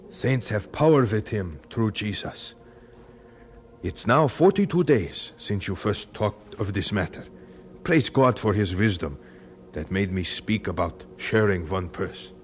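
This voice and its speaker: Over faint noise, a devout, patient orphanage founder speaks solemnly and deliberately. The voice is fairly low with very animated intonation, in the manner of old radio drama. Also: male voice.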